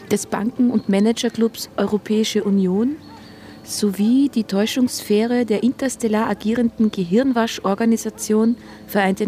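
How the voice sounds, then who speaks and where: Mellow-voiced woman declaiming , played by an actress. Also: medium pitch, close to an everyday voice. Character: woman dutifully reading out a pompous border sign. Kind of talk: formal reading aloud in radio drama.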